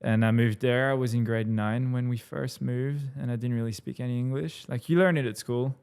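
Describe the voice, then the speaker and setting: Young guy, french first language, some aussie in the accent, gravelly voice, easygoing rambling chat. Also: medium pitch, brisk. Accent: French, with some Australian. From New Caledonia. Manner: relaxed, rambling, laughs easily. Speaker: guest, musician. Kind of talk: casual interview chat.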